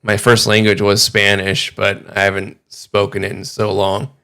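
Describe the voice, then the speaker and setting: A man casually chatting as host of a livestream, rambling and halting in an amused way. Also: on the low side, completely flat delivery, vocal fry, pushed and bright, clear, resonant tone. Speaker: livestream host reacting to videos.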